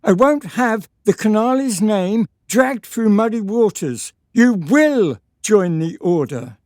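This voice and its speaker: An actress playing a stern, haughty noblewoman in a radio drama, speaking deliberately as a mother guarding her family's honour. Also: mid-range voice, lively intonation, a little mellow, no room sound, studio quality. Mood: commanding. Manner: haughty, deliberate, clipped commands.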